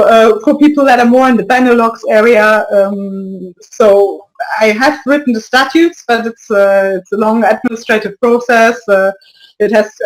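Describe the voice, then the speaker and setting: A woman guest in an interview speaks in a slightly high voice, halting and full of restarts. She slips small soft laughs between her thoughts and keeps a casual tone. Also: rough recording. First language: not French. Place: lives in Brussels area.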